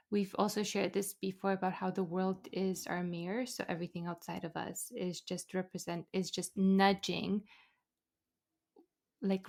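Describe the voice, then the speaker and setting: A woman co-hosting a casual spiritual podcast chat, speaking in a reflective, unhurried way and searching for her words. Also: on the low side. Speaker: podcast co-host.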